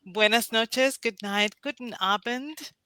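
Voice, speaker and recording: A woman's voice: a little high, slow, bright, forceful tone, clear, resonant tone, no room sound. A woman who acts in radio plays, chatting casually in a wry, joking, dramatic way. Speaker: voice actor in a radio play. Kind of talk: casual chat.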